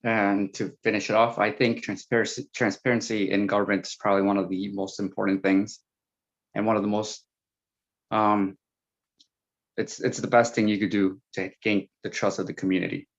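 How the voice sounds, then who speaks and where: A man whose first language is Spanish, a school board candidate speaking frankly in a panel Q&A with halting fillers. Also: on the low side, flat delivery. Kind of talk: panel Q&A answer. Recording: dull lo-fi sound.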